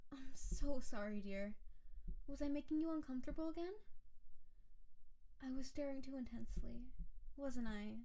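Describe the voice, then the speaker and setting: A doting yandere wife, a snow spirit acted by a woman, speaks in a fairly high feminine voice. She addresses her beloved directly in an intimate roleplay style, sweet and gushing, with a clingy, obsessive devotion. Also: creaky, warm tone. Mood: clingy, apologetic.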